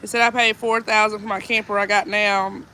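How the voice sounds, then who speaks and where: A woman's voice: mid-range voice, flat delivery, fairly quick, sharp tone, a little hiss or hum, rough recording. A woman with a stuffed-up nose, chatting and reading viewer comments aloud on a homesteading live stream. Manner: chatty, reads comments aloud, laughs easily. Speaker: homesteading vlogger.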